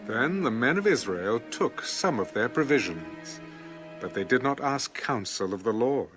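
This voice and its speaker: A man's voice: mid-range voice, expressive, a little slow, over music. A man narrating a dramatized scripture reading as a scripted storyteller.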